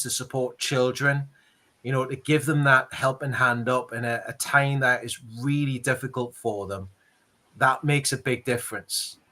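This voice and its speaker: Bright-voiced Geordie man. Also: mid-range voice. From Northeast England. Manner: earnest, rambling, repeats words. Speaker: interview host, charity ambassador. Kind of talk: casual conversational interview.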